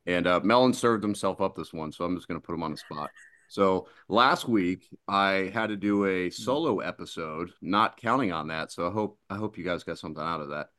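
Male podcast co-host with a lowish voice; teasing and jokey, repeating words as he talks. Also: fairly quick, a bit bright. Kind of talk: casual podcast banter.

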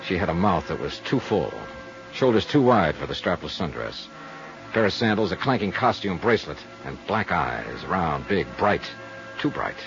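An actor playing a wry, hard-boiled insurance investigator, narrating and talking in a clipped, dry way in a 1950s radio drama. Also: male voice, sits low, big pitch swings, moderate pace, a bit bright, dull audio, heavily acted. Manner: clipped, terse, dry delivery. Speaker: detective narrator and lead character. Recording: vintage 1950s radio recording with music.

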